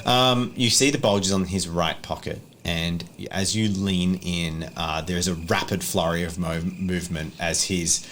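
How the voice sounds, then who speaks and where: A man's voice: deep voice, steady tone, a little slow, hardly any pauses, a bit bright, a little hiss or hum. A man acting as game master, describing scenes conversationally for a tabletop roleplaying game.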